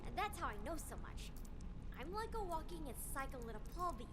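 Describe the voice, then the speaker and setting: A woman's voice: high-pitched, sing-song, moderate pace, heavy background noise. A woman voicing a plucky, adventurous young boy in a video game, with a childlike voice and eager, boastful, slangy kid talk. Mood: proud, chatty. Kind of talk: video game character dialogue.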